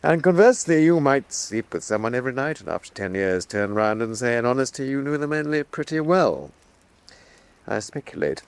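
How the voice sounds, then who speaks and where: An actor playing a sardonic cardinal who probes and muses drily, with a gravelly voice. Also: male voice, medium pitch, very animated, a little fast. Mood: dry probing. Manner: dry, probing, speculative. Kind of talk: radio drama acting.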